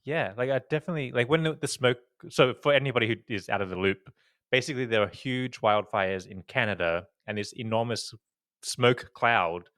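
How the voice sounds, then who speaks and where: A man co-hosting a casual podcast, chatty and animated, sounding slightly croaky. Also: medium pitch, no echo, clean studio sound. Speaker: podcast co-host. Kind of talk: casual chat. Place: New York.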